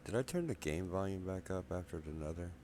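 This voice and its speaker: A man quietly rambling through casual gameplay commentary in his apartment at night, a bit wheezy from a cold, soft-spoken and self-deprecating. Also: low voice, expressive, brisk, slight background noise. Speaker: gameplay video maker. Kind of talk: casual let's play commentary.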